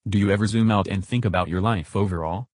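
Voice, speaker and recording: Husky, earnest chatbot acted out by a man. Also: fairly low pitch, fast talker, no echo, clean studio sound, close to an everyday voice. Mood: earnest, measured. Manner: succinct, matter-of-fact answers. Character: earnest, philosophical male chatbot being interviewed.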